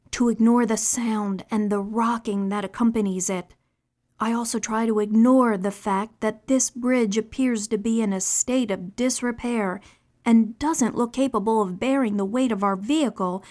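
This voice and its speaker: Expressive woman audiobook narrator. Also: mid-range voice. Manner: expressive, measured storytelling. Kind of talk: audiobook narration demo reel.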